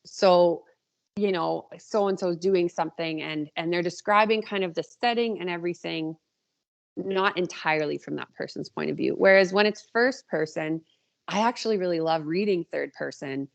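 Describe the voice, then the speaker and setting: Woman author on a podcast, kinda low voice w lots of fry, chatting casually. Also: normal speed, lo-fi sound. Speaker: podcast guest, indie author. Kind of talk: casual interview chat.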